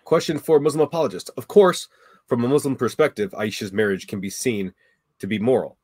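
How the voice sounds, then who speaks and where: A man hosts a livestreamed debate and reads viewer questions aloud, brisk and flat. His mid-pitched voice sits in a dry, close-sounding recording. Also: breathy edge, dry recording. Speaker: debate moderator.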